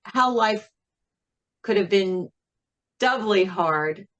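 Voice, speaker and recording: Slow-spoken, thoughtful woman in an interview. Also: medium pitch, animated, muffled recording. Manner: measured, thoughtful, with frequent pauses. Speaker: interview guest, singer and writer. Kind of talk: reflective interview conversation.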